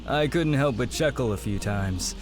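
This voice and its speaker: A man playing a proud, honourable warrior in a fantasy audio drama, with formal, measured phrasing. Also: mid-range voice, expressive, over music, natural voice. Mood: sincere, grave. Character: proud young warrior brother, intimidating yet honourable.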